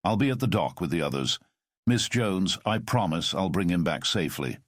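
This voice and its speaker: A man voicing a calm, commanding middle-aged former spy who speaks in a measured, formal way. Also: low-pitched, no room sound, studio quality. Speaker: storyteller. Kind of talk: audio drama storytelling.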